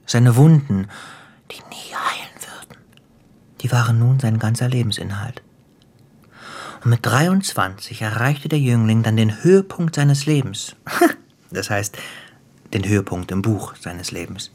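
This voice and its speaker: A man acting in a German radio play as a cruel, gleeful crime boss, theatrical and taunting, with a husky voice that drops into whispers. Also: fairly low pitch, expressive, measured pace, long pauses, darkish tone. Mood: relishing, theatrical. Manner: theatrical, taunting, savoring storyteller.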